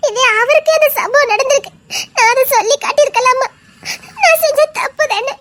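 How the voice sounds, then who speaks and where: An actress playing a remorseful young daughter who pleads for forgiveness through sobs. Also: female voice, extremely high pitch, fairly quick, a bit bright, slight background noise. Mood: tearful pleading. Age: young adult. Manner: sobbing, pleading. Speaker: daughter apologizing to her neighbour.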